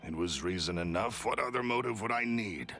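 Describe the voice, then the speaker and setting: An actor playing a bitter, greedy thief in a video game, haughty, theatrical and unrepentant, with a gravelly voice. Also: male voice, lowish voice, expressive, brisk, fairly bright tone, faint music behind. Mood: grim, defensive.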